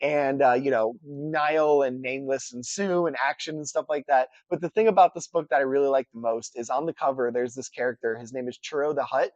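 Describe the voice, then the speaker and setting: A man's voice: medium pitch, fairly quick, few pauses, dry recording. Congested nasal man reviewing books. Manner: relaxed, chatty, filler-heavy with restarts. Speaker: book reviewer. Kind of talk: casual book review vlog.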